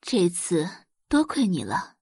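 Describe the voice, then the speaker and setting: Female performer as middle-aged rich mother, doting, haughty, expressive pitch, close dry sound. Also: slow pace, creaky, breathy edge, dry recording, exaggerated voice. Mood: relieved. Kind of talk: Chinese audio drama acting.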